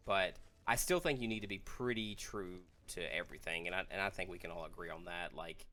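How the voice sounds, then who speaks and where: Guy with a lowish voice, podcast cohost, measured and thoughtful, hedges a lot, casual talk. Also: sharp tone. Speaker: podcast co-host. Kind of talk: casual discussion of films and games.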